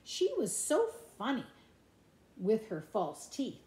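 A middle-aged woman reads a children's storybook with playful, sing-song expression. Her fairly high voice swoops widely and takes its time. It is recorded indoors in an echoey room. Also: huge pitch swings, takes it slowly, mellow. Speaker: grandmother reading a picture book to grandchildren. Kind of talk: animated children's storybook read-aloud with asides.

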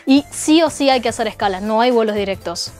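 A woman's voice: a little high, animated, brisk, a little mellow, faint music behind. A young woman from China, hosting a casual explainer video with wry asides, on a lapel mic indoors. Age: young adult. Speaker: host. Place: indoor room.

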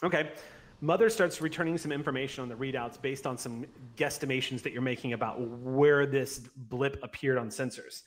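A man's voice: mid-range voice, fairly even intonation, moderate pace. A man acting as game master, narrating and explaining a tabletop game in an explanatory way. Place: indoors at home on a video call. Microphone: large desk microphone close by. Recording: clear background noise on video call.

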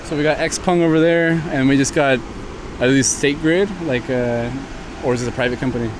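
Casual man vlogging over clear street noise. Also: medium pitch, fairly even intonation, normal speed. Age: 30s. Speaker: vlog host. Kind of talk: casual vlog chat. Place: in a moving car and outdoors in a parking lot. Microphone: small handheld mic. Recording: outdoor urban and car noise.

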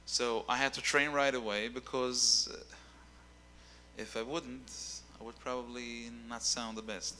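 A young adult man, a singer as guest, talking casually into a handheld mic on a dark stage, often repeating words as he thinks. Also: medium pitch, steady tone, a little slow, long reverb tail, a little hiss or hum. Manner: rambling, repeats words while searching.

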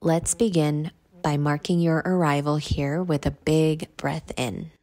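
Woman, low pitch, meditation guide, measured instructional delivery. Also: fairly even intonation, a little mellow.

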